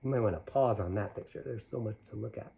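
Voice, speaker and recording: A man's voice: on the low side, fast talker, vocal fry, dark tone. A man reading a rhyming children's storybook aloud in a playful, theatrical way, dropping in conversational asides to listeners. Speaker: storybook reader.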